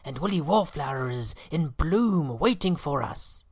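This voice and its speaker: Storybook bees, voiced by a man: keen, orderly, emphatic, lifted pitch. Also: expressive, measured pace, darkish tone, husky, exaggerated voice. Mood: excited. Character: swarm of orderly, keen honeybees.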